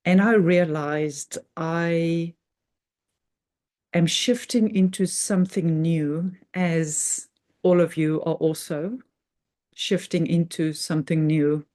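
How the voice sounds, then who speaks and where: A woman hosting a guided meditation, speaking earnestly and reverently in short, halting phrases with measured pauses in an unscripted spiritual talk. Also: sits low, fairly even intonation, a little mellow.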